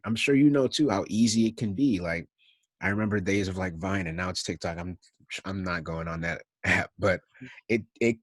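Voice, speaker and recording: A young man hosting a casual video call interview, reflective and animated, laughing often and saying "like" a lot. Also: lowish voice, no room sound. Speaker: interviewer, host. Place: indoor room at home. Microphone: video call, laptop webcam mic.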